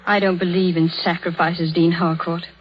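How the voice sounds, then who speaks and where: A woman's voice: on the low side, level tone, normal speed, breathy edge. A woman playing an earnest, troubled, strong-willed young woman in a 1930s radio drama, with a carefully acted delivery. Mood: troubled, defiant. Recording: dull, lo-fi 1930s radio recording.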